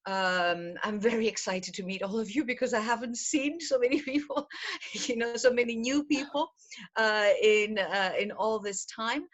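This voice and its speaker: A woman's voice: fairly high pitch, moderate pace, fairly bright tone, muffled recording. A middle-aged woman from Greece, a program director, presenting an online information session from home over a video call. She talks in a chatty way, with many fillers and laughs.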